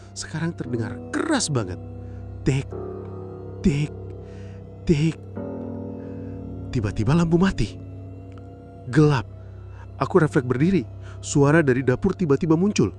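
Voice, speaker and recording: A man narrating a horror story in the first person, suspenseful and theatrical, pausing between short phrases. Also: mid-range voice. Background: background music.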